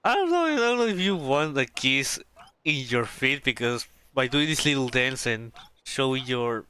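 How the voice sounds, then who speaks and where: Animated, bright-voiced male game streamer. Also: medium pitch, moderate pace, a little hiss or hum. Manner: reactive, interjecting. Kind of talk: casual gameplay reaction commentary.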